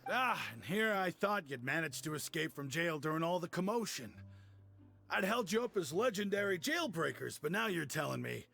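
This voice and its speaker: A man's voice: a little high, animated, normal speed, creaky, pushed and bright, faint music behind, exaggerated voice. An actor playing a chatty, teasing male prison inmate in an anime-style game, bantering and emphatic.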